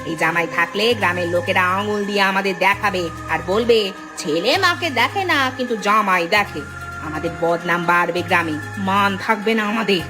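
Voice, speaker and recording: A woman's voice: mid-range voice, moderate pace, lightly acted. A woman voicing a scheming, bossy young wife of a village family in a cartoon drama, with an urgent, insistent manner. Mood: scheming, impatient. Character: scheming, bossy village daughter-in-law, anxious about reputation. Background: prominent music.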